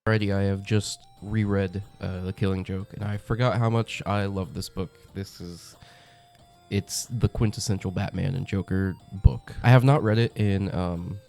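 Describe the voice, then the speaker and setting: A young man with a cold, his voice very low, gravelly and stuffed up as he hosts a comic review channel. He talks in a chatty, enthusiastic way, full of ums and uhs, his tone dark and mellow, with faint music underneath. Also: fairly even intonation, normal speed. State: congested from a cold. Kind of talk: casual review monologue.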